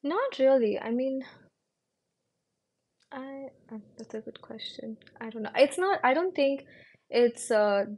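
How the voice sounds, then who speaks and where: A young woman from India, a physical therapist, answering interview questions in a relaxed, conversational way, often hedging with "like" and "you know". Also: high voice, takes it slowly, long pauses.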